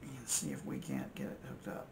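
A man's voice: a bass voice, moderate pace, gravelly. An older American man with a folksy way of thinking aloud, casually narrating as he works at a repair bench. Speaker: electronics repair hobbyist and video host. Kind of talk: casual muttered narration while working at repairs. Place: indoors at a repair workbench. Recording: steady noise, home-made workbench recording.

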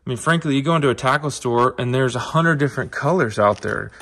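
Bright-voiced upbeat fishing host. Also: male voice, mid-range voice, quick pace. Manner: upbeat, conversational, addresses viewers as guys. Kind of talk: casual tackle tutorial. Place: indoor shop, tabletop demo.

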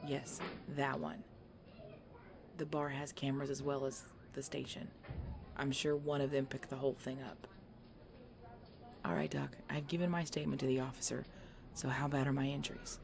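A woman playing a tough, weary, injured biker girlfriend in an ASMR roleplay audio drama, speaking haltingly and protective of her boyfriend. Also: a bass voice, level tone, gravelly, background noise. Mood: weary, pained.

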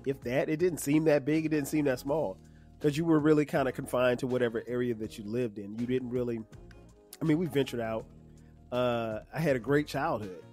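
A man in his fifties from South Carolina, hosting a solo podcast in a relaxed, conversational way with many fillers. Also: medium pitch, fairly quick, faint music behind. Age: 50s. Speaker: podcast host. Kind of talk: casual solo podcast monologue.

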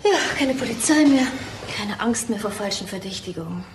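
A woman's voice: medium pitch, lively intonation, moderate pace, lightly acted. An actress playing an anxious woman who distrusts the police, in a crime radio drama. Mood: relieved, anxious. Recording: echoey room, faint background noise.

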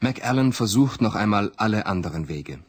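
A man's voice: on the low side, lively intonation, normal speed, husky. A man narrating a plot summary in a plain, matter-of-fact way.

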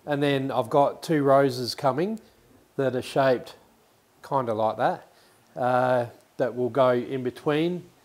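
Middle-aged man, casual and rambling vlog delivery, average pitch, long shed reverb. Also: darkish tone. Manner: chatty, rambling, self-correcting. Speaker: renovation vlogger. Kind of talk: casual show-and-tell to camera. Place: large workshop shed indoors.

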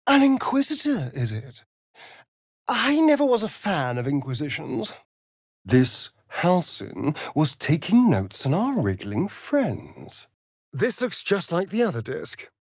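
A man's voice: on the high side, wildly swooping pitch, takes it slowly, slightly rough, dry recording, clean studio sound, exaggerated voice. A male actor voicing a sly, vain vampire rogue in a fantasy video game, with an arch, flamboyant, sardonic drawl. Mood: wry, curious.

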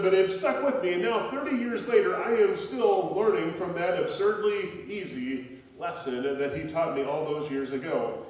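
Man in his forties, pastor, slightly high voice, preaching at a pulpit mic, distant sound, reverberant church hall. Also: a little mellow. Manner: conversational storytelling with gestures, frequent fillers. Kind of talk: sermon. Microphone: pulpit microphone, filmed by a far camera.

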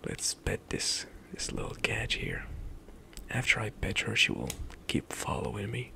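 Young male ASMR gamer, slow gravelly whisper, close-miked. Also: on the low side, wildly swooping pitch, a little hiss or hum. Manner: soft whispered rambling with light laughs. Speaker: ASMR gaming streamer. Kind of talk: whispered ASMR gameplay commentary. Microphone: close studio mic, headset on.

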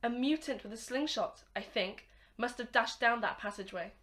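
Woman as young male space cadet, high bright voice, earnest, respectful, formal, sci-fi radio play. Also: moderate pace, lightly acted. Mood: alert. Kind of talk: amateur radio drama acting.

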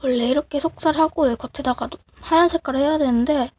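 A woman with a cold that makes her voice sound off, casually showing handmade crafts in a halting, apologetic, self-deprecating way. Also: sits high, dry recording. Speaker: craft video creator. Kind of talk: casual show-and-tell of handmade clay miniatures. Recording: noisy amateur recording with clicks.

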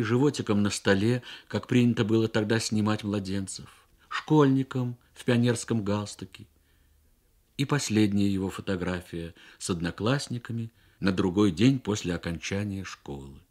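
A man narrating a literary story for radio with measured, expressive phrasing and deliberate pauses. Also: fairly low pitch. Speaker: story reader.

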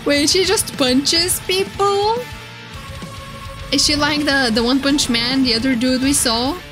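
A woman streamer giving excited, casual reaction commentary in a playful, flirty, gushing way. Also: sits high, unhurried. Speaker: streamer reacting to game trailers. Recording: loud music behind. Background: game trailer music and sound.

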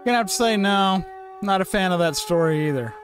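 A man's voice: high voice, expressive, a little fast, over music. A middle-aged man hosting a reaction channel, giving casual commentary into a close desk microphone.

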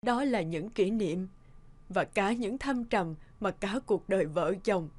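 A woman's voice: medium pitch, lively intonation, slow, a little mellow. A woman voicing a grieving, devoted middle-aged mother in a cartoon, speaking with sorrowful tenderness. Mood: sad, tender. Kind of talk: cartoon dubbing.

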